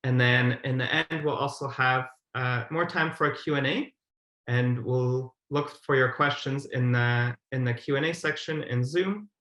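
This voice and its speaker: A man's voice: mid-range voice, fairly even intonation, a little slow, a bit bright. A man in his thirties giving an explanatory presentation as a webinar speaker on a video call. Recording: roomy, echoey video call.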